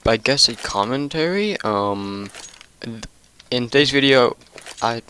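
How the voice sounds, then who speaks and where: A man's voice: mid-range voice, animated, a little slow, bright, forceful tone, slight background noise. A young man hosting a casual gameplay commentary, tired, stuttering often, with many fillers and restarts. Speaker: gaming channel host.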